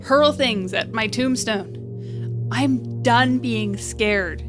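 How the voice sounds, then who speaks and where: High-voiced, reckless young woman, unhurried. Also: music in the background. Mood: resolute, pleading. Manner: rambling, joking bravado over earnest pleading. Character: reckless, self-deprecating young woman repairperson, earnest and flippant. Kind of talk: audio drama acting.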